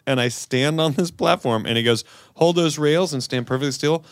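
A man's voice: on the high side, moderate pace, clean tone, no room sound, clean studio sound. Man telling a story, acting out both sides. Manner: animated storyteller, acts out both sides of conversations. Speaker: podcast co-host. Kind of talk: casual comedic chat.

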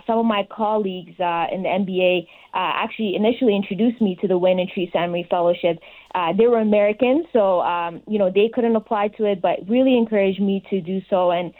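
A woman's voice: medium pitch, narrow, tinny audio. A young adult woman speaking as an interview guest, giving conversational answers. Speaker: interview guest, sports fellowship participant.

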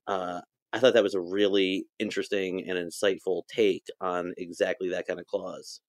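A man's voice: low voice, level tone, normal speed, no echo, clean studio sound. A man speaking as a podcast guest in casual discussion, emphatic and often checking for agreement. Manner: emphatic, seeking agreement with "right?".

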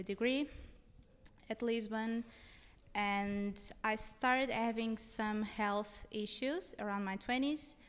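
A young Portuguese woman with a smooth, clean voice and a Portuguese accent. She tells stories conversationally as a guest, in a space with long reverb. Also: mid-range voice. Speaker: podcast guest. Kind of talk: casual conversational storytelling. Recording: reverberant room with long echo.

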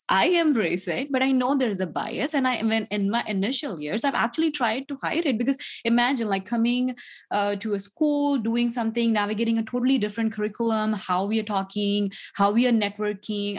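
A woman with a husky, breathy voice speaks as a panel guest. She hesitates and restarts her sentences often as she talks. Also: medium pitch. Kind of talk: panel discussion.

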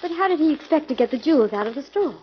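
An actress with an American accent playing a brisk, inquisitive detective's assistant in a 1940s radio drama. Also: female voice, high-pitched, lively intonation, a little hiss or hum. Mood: curious, brisk. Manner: brisk, prompting. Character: brisk, inquisitive female assistant to a crime-solver. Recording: dull 1940s radio recording.

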